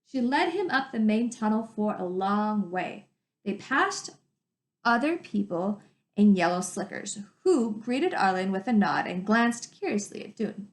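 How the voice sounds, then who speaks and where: A woman teacher reading a story to children in an animated, emphatic way, adding her own commentary. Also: mid-range voice, unhurried. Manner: animated, emphatic, stretches descriptive words. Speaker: teacher reading aloud to pupils. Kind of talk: expressive storybook read-aloud with commentary to children. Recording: echoey room sound.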